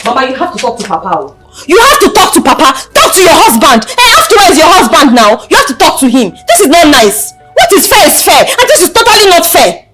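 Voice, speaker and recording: Casting: outspoken young village daughter, film drama. Female, Nigerian accent, high-pitched, scolding, repeats herself for emphasis. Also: big pitch swings, quick pace. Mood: upset, pleading. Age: young adult. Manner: insistent, repetitive, emphatic. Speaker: actor. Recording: background music under the dialogue.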